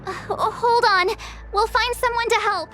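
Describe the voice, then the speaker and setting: A very high, girlish game heroine, young and kindhearted. She talks in an earnest, exclamatory way, as an adventurer who worries easily. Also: steady tone, unhurried, over music. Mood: urgent. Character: upbeat, kindhearted, anxious young adventurer girl. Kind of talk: English video game dub acting.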